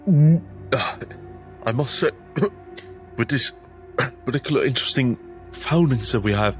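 A man roleplays at a tabletop game in a slow, halting delivery. His pitch is average and faint music runs behind him. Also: expressive.

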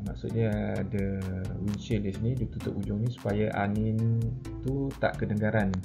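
Casting: Malaysian man, forties, low creaky voice, informal hesitant product explainer who mixes in English terms. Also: little pitch movement, moderate pace, over music. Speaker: product reviewer. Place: medium-sized indoor office.

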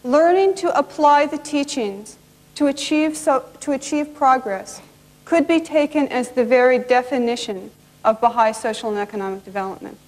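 High-pitched older woman in reverberant hall. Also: moderate pace, darkish tone. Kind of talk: public speech at a conference table. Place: indoor conference hall. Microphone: table microphone.

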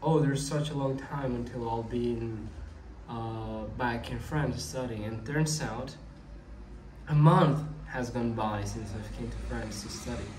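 A man's voice: medium pitch, unhurried, darkish tone. Young man, about twenty, rambling casual monologue, wistful, echoey room, distant mic. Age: young adult. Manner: rambling, restarts often, wistful. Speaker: vlogger. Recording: echoey room, mic set back, faint ambient noise.

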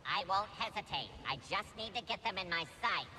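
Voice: mid-range voice, lively intonation, moderate pace, sharp tone, slight background noise. An actor voicing a hapless, insecure battle droid in a video game, nasal and whiny through a robot filter. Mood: petulant, chatty. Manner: whiny, petulant. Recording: faint game ambience behind.

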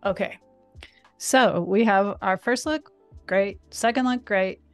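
A woman on a video call leads a live software walkthrough, chatty and eager. Faint music plays underneath. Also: mid-range voice, slow. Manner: chatty, enthusiastic. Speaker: guest designer demonstrating software. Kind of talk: live screen-share tutorial.

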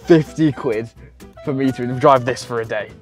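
A man's voice: mid-range voice, normal speed. A young man in casual, playful chat inside a small car, bantering and laughing, with music behind. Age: young adult. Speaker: YouTuber.

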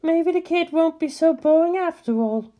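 A woman's voice: very high-pitched, animated, normal speed, lo-fi sound, voice pushed up. A woman voicing a bossy, exasperated young female officer who exclaims indignantly and is easily flustered. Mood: brisk.

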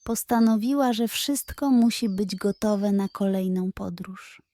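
A woman narrating a scripted children's bedtime story in a gentle, careful, expressive storytelling manner. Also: mid-range voice, measured pace, dry recording.

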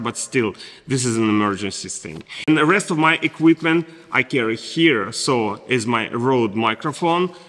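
A man's voice: lowish voice, fairly bright tone, big-room sound. A man in his forties from Estonia, a native Russian speaker with a Russian accent, chatting enthusiastically in a rambling fashion haul vlog, recorded on a chest mic in a large loft room. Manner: enthusiastic, rambling, gushing superlatives. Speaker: fashion vlogger.

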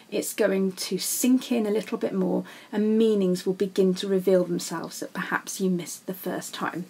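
A woman gives a recorded lesson as a teacher, in a husky voice of medium pitch. She explains things informally and speaks straight to her students. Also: a little mellow. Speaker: English literature teacher. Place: voice-over for a slide lesson.

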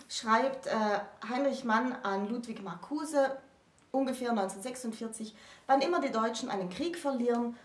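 Higher-voiced woman host, distant and roomy. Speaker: host presenting a literature discussion. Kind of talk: conversational educational presentation. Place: small book-lined room, indoors. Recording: roomy sound, distant microphone.

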